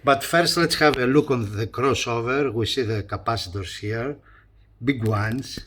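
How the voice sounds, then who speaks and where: A man presenting a hi-fi review in a casual hands-on style, talking haltingly and repeating phrases as he points things out. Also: medium pitch, a bit bright, slight background noise. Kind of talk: casual hands-on video commentary.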